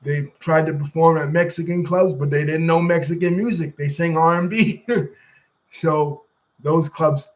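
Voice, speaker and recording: A man's voice: on the high side, steady tone, measured pace. A man hosting a commentary channel who walks through history step by step in a casual, conversational way and now and then chats with people off camera.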